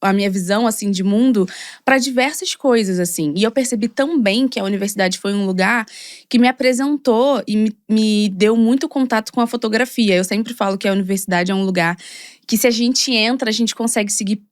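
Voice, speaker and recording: Young Carioca woman guest, chatty and animated, mid-pitched voice, dry close mic. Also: a little fast, dry recording. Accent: Carioca Brazilian Portuguese. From Rio de Janeiro, Brazil. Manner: chatty, animated storytelling with many fillers. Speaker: guest, model and content creator. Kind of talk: casual podcast conversation.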